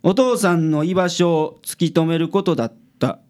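A woman's voice: fairly low pitch, moderate pace, a little mellow, natural voice. A woman playing a cool, dry-witted male private detective with a Kansai accent in a radio drama. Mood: serious, deductive.

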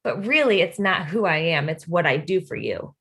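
Lively, bright-voiced woman podcast host. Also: lowish voice, normal speed, gravelly. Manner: animated, confessional, bursts into playful quoted voices. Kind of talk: faith-based conversational podcast.

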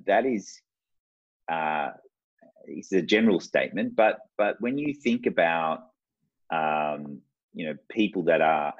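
An Australian man in his forties, a guest telling his life story in an unhurried, reflective way. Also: low-pitched, dry recording. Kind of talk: casual interview talk. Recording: dull lo-fi sound.

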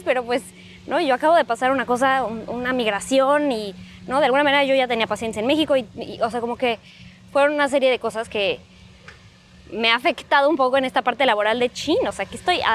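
A thirty-year-old Mexican woman speaking reflectively as an interview guest, with pauses and tag questions. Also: sits high, a little hiss or hum. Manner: thoughtful, hesitant, many fillers and rhetorical "¿no?". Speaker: guest, psychology student. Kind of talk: reflective conversation. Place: outdoors, walking in a cemetery.

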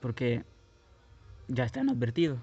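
A man's voice: mid-range voice, sing-song, a little slow, dark tone, slightly rough, lo-fi sound, soft background music. A young Guatemalan man telling his life story in a casual, rambling way, with many restarts. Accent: Guatemalan Spanish. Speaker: podcast host.

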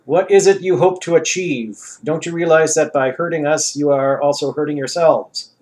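A man voicing a nervous Secretary of State who asks halting, pleading questions in a comic story. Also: a little high, a little mellow, close to an everyday voice. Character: nervous male Secretary of State, middle-aged.

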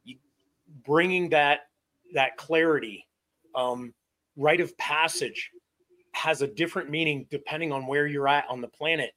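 A bright-toned man from Vermont with a slightly raised pitch, speaking as a co-host. He reflects earnestly on the meaning of a rite of passage and repeats words as he searches for them. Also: unhurried, long pauses. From Vermont, USA. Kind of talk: reflective talk-show commentary.

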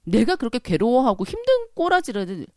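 A woman chats casually as she tells her story. Her pitch swings widely as she dramatically re-enacts what she said, repeating phrases for emphasis. The sound is dry and close, with very little room. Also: very animated, fairly quick, a little mellow, no room sound. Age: 40s. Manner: dramatic, acts out her own past words with exclamations and emphatic repetition. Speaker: podcast guest telling her own story. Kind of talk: casual chat, animated personal storytelling.

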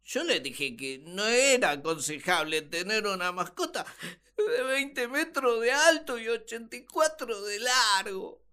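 A woman's voice: fairly high pitch, expressive, unhurried, sharp tone, clear, resonant tone. A woman playing Adam as an exasperated, naive and plaintive first man in a comic radio drama.

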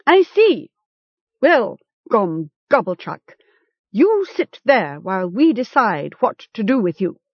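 A woman's voice: medium pitch, very animated, takes it slowly, slightly rough, no echo, muffled recording, heavily acted. A woman voicing a mocking male chieftain in a storybook, theatrical and accusatory. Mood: mock courtesy. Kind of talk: storybook character acting.

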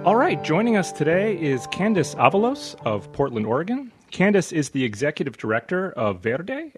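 An American man with a slightly husky voice, speaking as the host of an interview show. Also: mid-range voice, no echo. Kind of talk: scripted show introduction and interviewing.

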